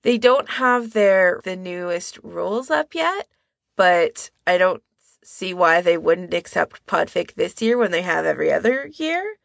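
Female podcast co-host, lowish creaky voice, informal explainer, casual delivery. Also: sing-song, a little slow, no room sound, studio quality.

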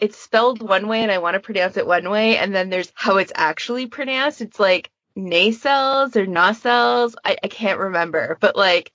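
A woman talks informally as a podcast panelist, animated and happy to poke fun at herself, with some swearing. Her pitch sits in an ordinary female range. The sound is very dry and a little muffled. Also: no room sound. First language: English. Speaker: podfic creator and podcast panelist. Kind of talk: casual podcast chat.

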